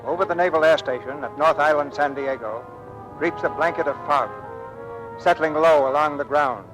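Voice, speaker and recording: A man's voice: on the high side, poor audio. A man giving dramatic, scene-setting narration for a 1940s radio drama. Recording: old radio broadcast with music.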